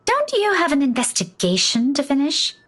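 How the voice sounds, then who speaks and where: A woman's voice: high-pitched, wildly swooping pitch, normal speed, a bit bright, exaggerated voice. An actress playing a caring, stubborn young woman schoolteacher in a video game, earnest and firm with her friends. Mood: insistent.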